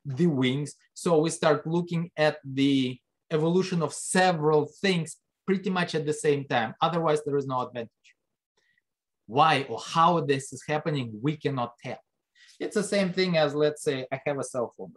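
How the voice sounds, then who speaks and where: A man giving a biology lecture, talking conversationally and putting rhetorical questions to the class. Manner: lively pitch jumps for emphasis. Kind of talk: recorded classroom lecture over slides.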